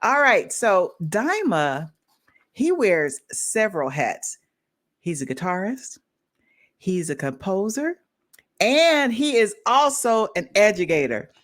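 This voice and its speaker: A woman's voice: mid-range voice, big pitch swings, slow. A woman hosting a live online show, bubbly and enthusiastic as she welcomes and interviews a guest. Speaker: host.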